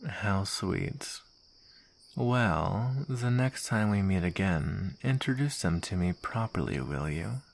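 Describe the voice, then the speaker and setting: A man's voice: low voice, animated, takes it slowly, creaky, exaggerated voice. A man playing a smug soldier villain in an ASMR roleplay, taunting and drawling with mock sweetness and menace.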